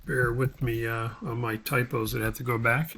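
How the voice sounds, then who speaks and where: A man giving an unscripted step-by-step software tutorial, talking haltingly as he thinks aloud while he works. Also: mid-range voice, level tone, a little hiss or hum.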